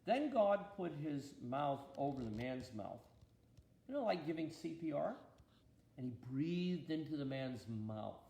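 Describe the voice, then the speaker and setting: A man narrates a children's Bible story in a deliberate, expressive storytelling style. His voice sits a little high and moves with lively variation, heard in an echoey room. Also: vocal fry. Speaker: bishop reading a story aloud to a listener.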